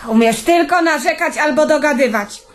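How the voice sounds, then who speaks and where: A woman's voice: normal speed, close to an everyday voice. An actress playing an exasperated, reproachful woman scolding a lazy man in a radio play. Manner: shrill, reproachful.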